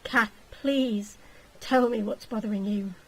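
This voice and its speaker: A woman reader voicing a gentle, patient young man who soothes his fiancée in a storybook reading. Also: medium pitch, fairly even intonation, slow pace, slightly rough, rough recording. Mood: pleading. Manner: soft, tender, reassuring.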